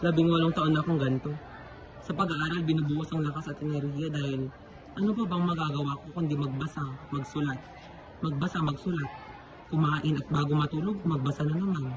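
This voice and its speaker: A man's voice: a little high, muffled recording, noisy background, close to an everyday voice. A young man playing a lonely eighteen-year-old student who thinks he is ugly, brooding in inner monologue. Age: young adult. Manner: brooding inner monologue turning to excited chatter. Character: lonely 18-year-old male student, self-pitying, calls himself ugly. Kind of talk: amateur radio drama acting.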